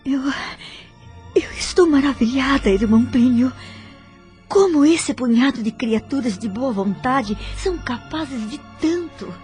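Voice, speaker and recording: A woman with a Brazilian accent playing a humble, awed spirit learner in an audio drama, with a soft, breathy voice. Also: high voice, animated, unhurried, faint music behind. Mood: awed, grateful.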